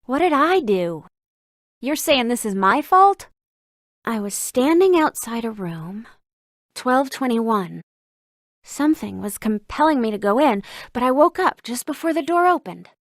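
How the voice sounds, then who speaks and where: A brisk, learned female curator from a video game. Her voice sits fairly high and is recorded very dry. She speaks articulately, with a scholar's precision and a sardonic edge. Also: big pitch swings, no echo. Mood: defensive, uneasy. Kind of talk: scripted video game dialogue.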